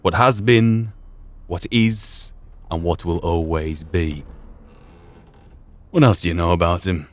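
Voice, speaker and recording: A man's voice: low voice, steady tone, slow pace, pauses often. A man giving in-character commentary on a video game, musing aloud and rephrasing his own thoughts. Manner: musing, repeats and rephrases himself. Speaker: gaming video host.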